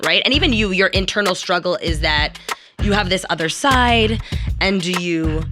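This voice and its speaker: A woman's voice: on the low side, expressive, normal speed. A woman in a candid discussion, explaining things in an emphatic, conversational way. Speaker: actor and radio professional. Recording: music playing under speech. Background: music.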